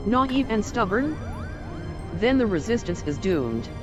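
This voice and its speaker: A stern warrior woman speaks in a mid-pitched, haughty, formal way, every phrase clipped. The sound is slightly dull, with strong game music underneath. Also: big pitch swings, a little slow. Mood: skeptical. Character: stern, proud female warrior lieutenant of a resistance. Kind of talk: fantasy video game voice acting. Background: loud game music.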